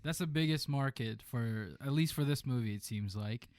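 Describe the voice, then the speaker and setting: A man co-hosting a podcast, giving relaxed, opinionated takes with many "like"s and "uh"s. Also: mid-range voice, moderate pace, no room sound. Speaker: podcast co-host. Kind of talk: casual chat, movie review.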